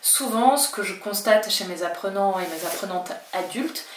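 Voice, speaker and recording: A French-speaking woman explains to camera in a chatty, reassuring way, sometimes voicing her students' doubts. Her voice sits at a middle pitch. She sounds a little distant from the mic, in a room with a noticeable echo. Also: moderate pace, slight background noise. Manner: chatty, reassuring, acts out learners' worries in quotes. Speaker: Spanish teacher. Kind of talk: talking-head language lesson.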